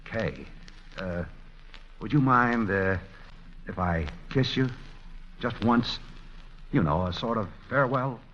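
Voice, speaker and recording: A lively, wisecracking 1940s radio con man. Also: lowish voice, slight background noise. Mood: pleading, wheedling. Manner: stammering, wisecracking, quick to recover. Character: smooth-talking, flirtatious amnesiac con man posing as husband. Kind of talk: 1940s radio romantic comedy acting. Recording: dull, muffled vintage radio recording.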